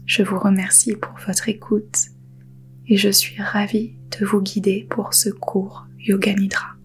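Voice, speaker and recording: A woman guiding a yoga nidra meditation in a soft, breathy half-whisper, calm and soothing, with unhurried pauses. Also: medium pitch, a little hiss or hum. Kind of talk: guided meditation.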